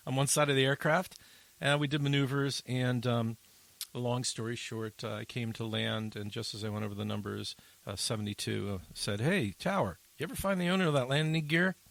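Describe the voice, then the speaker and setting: A man's voice: medium pitch, vocal fry, a bit bright. A man hosting an aviation talk podcast, telling a story in a rambling, joking way with many fillers. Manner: rambling, jokey, lots of fillers. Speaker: podcast host and pilot. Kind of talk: casual radio chat.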